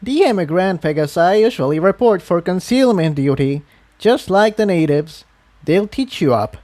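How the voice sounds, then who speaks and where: A man voicing a brisk, insistent elderly female pony in a fantasy story reading, speaking matter-of-factly. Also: sits high, lively intonation, darkish tone, pitched above the performer's own voice. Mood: polite. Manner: exclaiming, matter-of-fact, addresses listener as dear.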